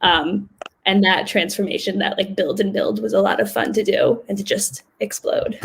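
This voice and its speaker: A woman's voice: mid-range voice, moderate pace, creaky, breathy edge. A woman actor chatting casually and informally on a video call. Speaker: actor in a post-show discussion. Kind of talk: casual chat. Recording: faintly noisy video call.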